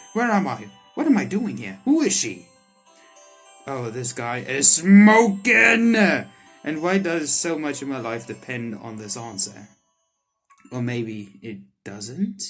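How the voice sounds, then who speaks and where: A man doing let's play commentary on a visual novel, reading the text aloud and reacting to it excitably. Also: mid-range voice. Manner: excitable, reacts in sudden loud outbursts. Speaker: streamer playing a visual novel. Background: soft game music.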